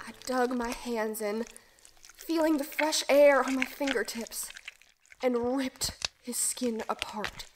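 Woman playing a young fairy tale girl survivor, kinda high voice, bitter n intense, dramatic audio play storytelling over music. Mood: shaken, grim. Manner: intense, deliberate, emotional storytelling. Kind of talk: dramatic first-person audio drama monologue.

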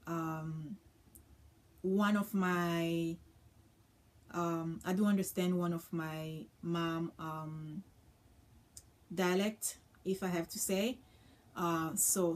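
A woman's voice: lowish voice, darkish tone. A young woman from Congo, chatting casually to camera with many ums and uhs. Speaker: vlogger. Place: small indoor room with floral curtain.

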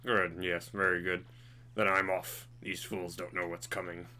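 A man's voice: deep voice, little pitch movement, a little slow, pushed and bright, slight background noise, exaggerated voice. A man voicing an arrogant, gloating monster king in a game.

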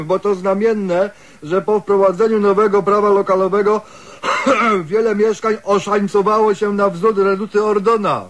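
A man's voice: high-pitched, fairly even intonation, a little slow. A man playing a condescending, bullying housing administrator in a radio comedy, pompous and scolding. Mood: officious, smug. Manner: pompous, patronising, quoting sayings, scolding. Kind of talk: satirical radio comedy sketch acting.

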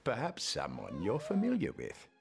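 A man playing a scheming older politician in a video game, with a creaky voice and formal, insinuating manner. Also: mid-range voice, huge pitch swings, moderate pace, background noise, heavily acted. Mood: suave, insinuating. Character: smooth-tongued scheming older male politician. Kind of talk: video game cutscene acting.

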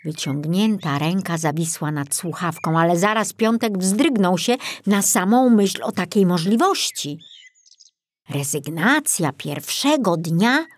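Unhurried woman narrating a children's story. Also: lowish voice, no echo. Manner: expressive, deliberate storytelling. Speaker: audiobook narrator.

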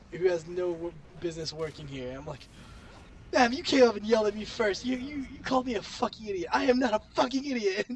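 A teenage man with a cold and a highish voice co-hosts a gaming video. He tells stories in a casual, blunt way and curses freely. There is clear noise on the recording. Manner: blunt, heavy swearing. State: sick with a cold. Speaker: gaming channel co-host. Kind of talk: casual profane chat and storytelling over gameplay. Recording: clearly noisy home game recording.

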